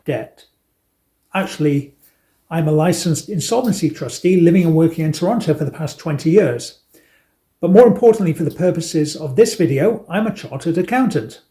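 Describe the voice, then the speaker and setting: Deadpan Manchester man in his fifties. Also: on the high side. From England. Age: 50s. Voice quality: deadpan self-mocking delivery. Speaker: election candidate. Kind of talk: scripted campaign address with dry jokes.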